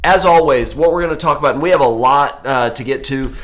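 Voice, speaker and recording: A man in his forties, an orthopedic surgeon hosting a live health show, with a voice going hoarse from a cold, rambling and correcting himself with many fillers. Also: mid-range voice, a little fast, a bit bright. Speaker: host.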